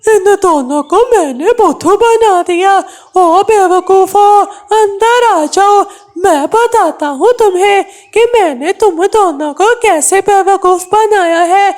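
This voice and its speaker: A woman voicing a mocking, mischievous male crow in a children's cartoon, with a taunting, drawn-out delivery. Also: extremely high pitch, a little mellow. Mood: gleeful taunting. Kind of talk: Hindi kids' cartoon dubbing.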